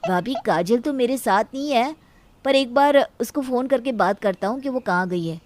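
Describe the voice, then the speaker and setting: A woman's voice: fairly high pitch, lively intonation, moderate pace, darkish tone, dry recording, close to an everyday voice. A woman voicing a sensible, exasperated husband and younger brother-in-law, reasoning and reproachful, in a story. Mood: reassuring.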